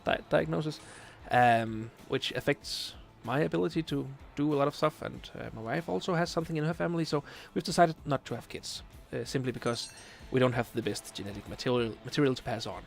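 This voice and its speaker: Man, late twenties, Danish accent, gravelly, casual stream chat, hesitant fillers. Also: mid-range voice, fairly quick, hardly any pauses, soft background music. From Denmark. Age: 20s. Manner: hesitant, many filler uhs, searching for English words. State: tired late at night. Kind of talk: casual live stream chat, reading chat questions.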